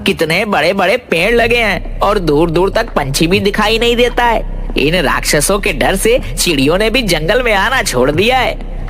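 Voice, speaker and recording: A man's voice: sits high, pushed and bright, heavily acted. A man voicing a poor, fearful village woodcutter in a cartoon, with a folksy, plaintive way of talking. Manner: folksy, repeats a pet oath, plaintive.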